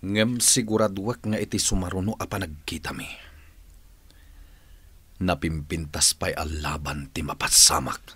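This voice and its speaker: A bitter, resolute tough man bent on revenge in a radio drama. His voice is very low and husky, brooding over every threat. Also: steady tone, a little slow, long pauses, bright, forceful tone, dry recording, full character voice. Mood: brooding, vengeful. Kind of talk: Ilocano radio drama acting.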